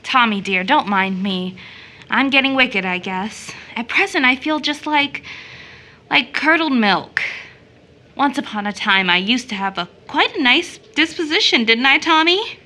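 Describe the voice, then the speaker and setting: A woman's voice: sits high, lively intonation, unhurried, bright, forceful tone, heavily acted. A woman playing an earnest, affectionate but troubled young daughter in an audio drama. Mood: glum.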